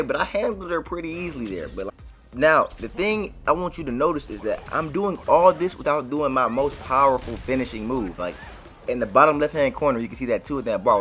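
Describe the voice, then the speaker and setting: A man's voice: mid-range voice, a bit bright. A man giving casual, conversational gameplay commentary full of informal slang. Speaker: gaming video creator. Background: game music.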